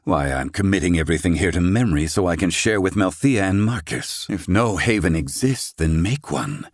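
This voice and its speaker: A man acting a proud, gruff, middle-aged inventor in a fantasy video game, with formal, haughty, theatrical diction. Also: sits low, animated, normal speed, no echo, clean studio sound. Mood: reflective, earnest.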